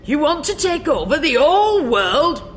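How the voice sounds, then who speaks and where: A woman's voice: high voice, big pitch swings, moderate pace, slight background noise, voice pushed up. A woman voicing a plain-spoken male farmer with a blunt, incredulous manner.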